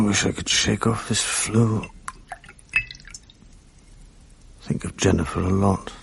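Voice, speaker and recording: A man's voice: deep voice, vocal fry, husky, exaggerated voice. An actor playing an ailing, grieving, lonely man speaking his thoughts in a subdued, reflective radio drama monologue. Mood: grieving, weary.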